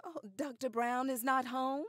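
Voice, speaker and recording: A woman's voice: high-pitched, fairly even intonation, measured pace, a bit bright, no echo, clean studio sound. An actress playing a poised, formidable older activist lady of the 1910s with formal, precise diction in a radio drama. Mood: gracious. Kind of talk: period radio drama acting.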